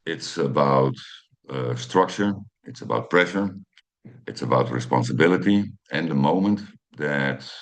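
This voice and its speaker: A man in his fifties with a Dutch accent and an extremely low, deep voice. He talks English as a second language, slowly building a personal story with frequent ums and uhs as he checks his notes. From Netherlands. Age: 50s. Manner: hesitant, many ums and uhs, reads from notes. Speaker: channel host.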